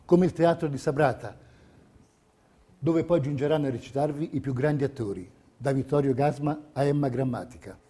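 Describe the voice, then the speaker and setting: A man delivering scripted theatrical narration in measured, deliberate phrases. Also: mid-range voice, warm tone, slightly rough. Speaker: stage narrator.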